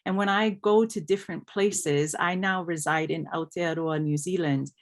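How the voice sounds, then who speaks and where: Woman, from Hawaii, slightly low pitch, articulate, personal panel reflection, emphatic lists. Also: fairly even intonation, normal speed. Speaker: panelist, scholar.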